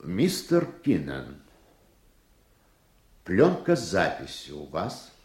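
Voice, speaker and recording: A man's voice: low-pitched, wildly swooping pitch, takes it slowly, darkish tone, heavily acted. An actor playing a stern, irritable male judge in a radio play, speaking formally and with authority. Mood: taken aback. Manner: formal, authoritative courtroom delivery. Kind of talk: Soviet radio play acting. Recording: roomy, echoing radio drama recording.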